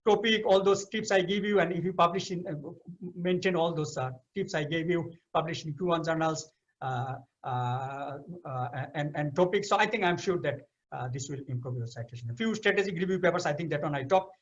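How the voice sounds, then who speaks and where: A man's voice: a little high, fairly quick, highs cut off. A middle-aged Bangladeshi man, a professor giving advice in a webinar, talking with many hesitant fillers and restarts. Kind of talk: advice talk in English.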